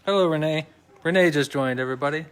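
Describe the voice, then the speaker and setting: A man chatting casually and jokingly on a live panel, with an animated delivery. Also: on the high side, quick pace. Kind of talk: casual live chat, reading out questions. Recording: echoey room, distant mic, loud group chatter.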